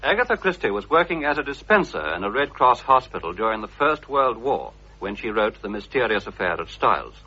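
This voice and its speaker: Lively bright-voiced male documentary narrator. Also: lowish voice, slightly rough. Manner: measured, formal storytelling. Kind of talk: scripted narration. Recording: dull old radio broadcast recording.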